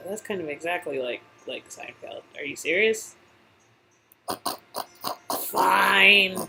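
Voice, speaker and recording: A woman's voice: on the low side, animated, slow, vocal fry, bright, forceful tone, a little hiss or hum. A woman co-hosting a story-game playthrough with casual banter. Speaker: co-host. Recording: music in background.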